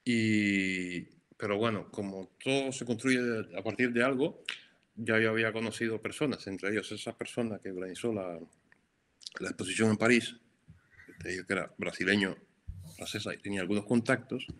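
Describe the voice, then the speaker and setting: A middle-aged man from Cuba speaks with a Cuban Spanish accent in a fairly low voice. He answers interview questions in an unhurried, reflective way. He often stumbles into restarts and repeats his words as he thinks. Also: a bit bright. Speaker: photographer, interview guest. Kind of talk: conversational interview.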